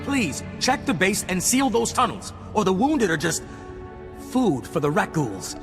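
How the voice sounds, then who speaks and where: A man's voice: high-pitched, sing-song, measured pace, creaky, music in the background, heavily acted. A man playing an embittered, urgent military captain in a game.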